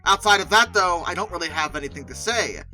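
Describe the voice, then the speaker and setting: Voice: medium pitch, lively intonation, fast talker, pushed and bright, soft background music, poor audio. A host giving conversational, opinionated video commentary, with emphatic asides. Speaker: host of a video ranking show.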